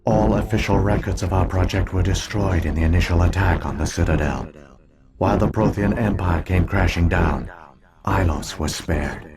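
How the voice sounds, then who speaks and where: An actor playing an ancient alien artificial intelligence in a video game, solemn and coldly logical, speaking in measured, formal phrases. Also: male voice, sits low. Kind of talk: scripted video game dialogue.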